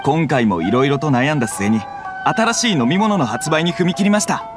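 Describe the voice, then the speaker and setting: A man voices a young street vendor in a game, friendly and earnest. His voice is lightly high and swings animatedly, with clear music underneath. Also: animated, fairly quick, natural voice. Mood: earnest, hopeful. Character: earnest, friendly young food stall owner. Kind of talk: Japanese game voice acting.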